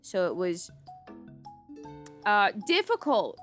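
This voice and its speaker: A woman's voice: medium pitch, huge pitch swings, slow pace, fairly bright tone. A woman streamer chatting casually while playing a game. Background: music.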